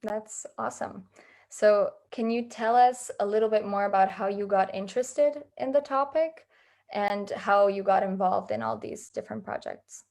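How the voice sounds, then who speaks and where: Creaky-voiced woman interviewer. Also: mid-range voice, moderate pace, a little mellow. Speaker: interviewer, host. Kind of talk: conversational interview questions.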